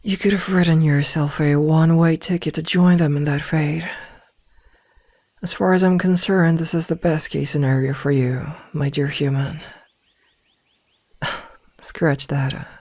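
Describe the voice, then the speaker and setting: A woman playing a possessive, menacingly loving female werewolf in an ASMR roleplay, speaking softly and coldly, often slipping into a whisper. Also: low voice, steady tone, dry recording. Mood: quietly menacing, then possessive, resolute. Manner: cold, callous, deliberate word delivery. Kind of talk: ASMR roleplay, slow intimate delivery.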